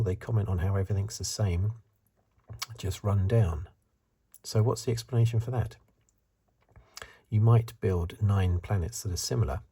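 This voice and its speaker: A man speaking in a soft, breathy, partly whispered ASMR voice, musing hesitantly as he gives a casual review. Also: fairly low pitch. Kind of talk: soft-spoken ASMR commentary.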